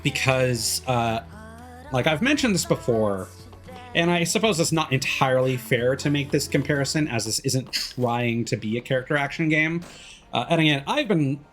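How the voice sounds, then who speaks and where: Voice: medium pitch, expressive, moderate pace, a bit bright, faint music behind. A video game streamer giving casual, analytical commentary, rambling and often trailing off mid-thought. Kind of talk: casual livestream commentary while gaming.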